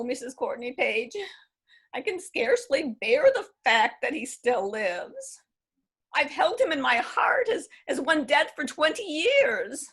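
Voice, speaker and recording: A woman reading the part of a heartbroken aging woman still mourning a lost love, in a halting, wistful way, for a readers theatre play. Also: high-pitched, fairly bright tone, slightly rough, exaggerated voice.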